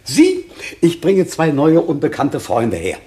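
A man's voice: a little high, huge pitch swings, measured pace, dark tone. An actor playing a fussy, hearty old shepherd father in a classical German radio drama. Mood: hearty, urging.

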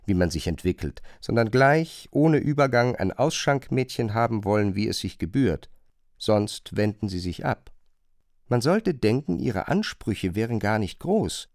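A man narrating a literary radio play, retelling a chambermaid's grievances in an expressive, emphatic way. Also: fairly low pitch, dry recording, studio quality.

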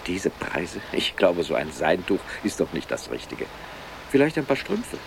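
Talky restless colleague, creaky voice all over the place, old radio play, traffic noise. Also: male voice, deep voice, huge pitch swings, normal speed, full character voice. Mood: chatty, fussing. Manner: garrulous, self-absorbed. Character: chatty, restless male colleague, fussing over gifts and prices. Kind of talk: 1970s German radio drama acting. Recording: urban street traffic noise behind voice.

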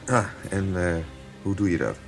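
Very deep, halting male customer. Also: animated, creaky, soft background music. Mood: nervous, halting. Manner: halting, hesitant. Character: awkward, furtive, hesitant male customer. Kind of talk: Dutch radio play acting.

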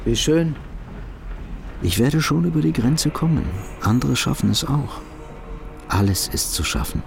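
Courteous fugitive businessman, lively pitch. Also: unhurried, vocal fry, faint music behind. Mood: polite curiosity. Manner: courteous, formal, self-conscious. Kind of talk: German audio drama acting.

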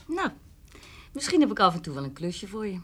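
An actress playing a kindly woman neighbour in a radio drama, gentle, informal and reassuring. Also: mid-range voice, very animated, fairly quick, husky.

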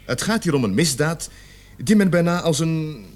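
Gruff authoritative cop sarge, radio play, pitch swings a lot, emphatic. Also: male voice, animated, normal speed, a little mellow. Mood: formal, emphatic. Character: gruff, authoritative male police sergeant leading a murder investigation. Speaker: radio drama actor. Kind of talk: Dutch radio play acting.

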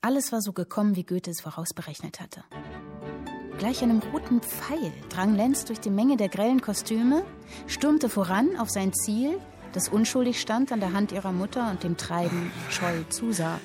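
Radio play narrator, an actress: woman, mid-pitched, deliberate and vivid literary storytelling, music underneath. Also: animated, close to an everyday voice. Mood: vivid, dramatic. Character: female storyteller reading a vivid historical tale.

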